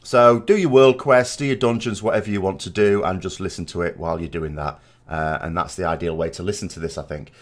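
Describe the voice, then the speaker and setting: A man's voice: low voice, fairly quick. Man rambling through casual podcast-style commentary. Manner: rambling, digressive, conversational. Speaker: gaming channel host.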